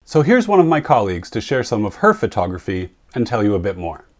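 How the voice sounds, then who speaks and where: Man calmly explaining a lesson. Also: lowish voice. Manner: clear, measured explaining. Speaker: photographer and presenter. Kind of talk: scripted lesson narration.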